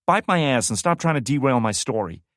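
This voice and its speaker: A man's voice: medium pitch, expressive, a little fast, a bit bright, dry recording, studio quality, voice pushed up, lightly acted. A man voicing a brash, foul-mouthed young woman soldier who tells a story with boisterous, swearing glee. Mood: gleeful telling.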